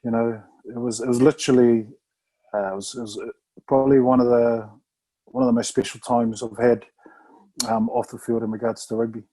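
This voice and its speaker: A man, a Samoan speaker, chatting casually as a rugby player guest in a relaxed, rambling way. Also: medium pitch, brisk, rough recording. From New Zealand. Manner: relaxed, rambling, full of "mate" and "bro". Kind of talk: casual podcast interview.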